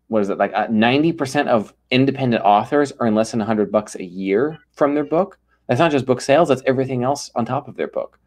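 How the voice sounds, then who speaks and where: An energetic man talking as an interview guest, his voice at a middle pitch. He speaks with emphasis and acts out short quoted back-and-forths. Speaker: ghostwriter guest. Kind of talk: podcast interview.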